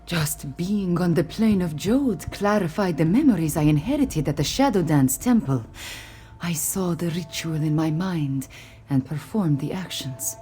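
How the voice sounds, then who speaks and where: A woman voicing a weary, regal cat-folk warrior queen in a video game, speaking formally and earnestly in measured phrases. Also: sits low, expressive, warm tone. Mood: earnest, solemn. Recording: game music behind the voice.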